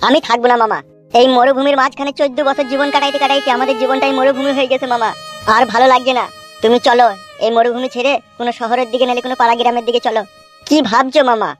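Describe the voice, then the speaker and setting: A woman's voice: high voice, level tone, racing, soft background music, lightly acted. A woman voicing a desperate young nephew, plaintive and insistent, in a comedy cartoon. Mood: despairing, pleading. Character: desperate, pleading young nephew, homeless desert wanderer.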